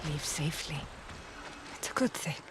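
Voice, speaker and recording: An actor playing a sorrowful, reflective warrior in a video game, subdued and wistful. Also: male voice, normal speed, natural voice. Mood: wistful, resigned. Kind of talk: dramatic video game acting. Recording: clear ambient noise behind the voice.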